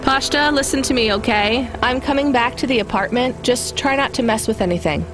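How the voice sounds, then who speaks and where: A woman's voice: on the high side, fairly quick, over music, close to an everyday voice, poor audio. A woman playing a weary, guarded but caring young female delivery driver in a video game, with a dry, informal manner. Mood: worried.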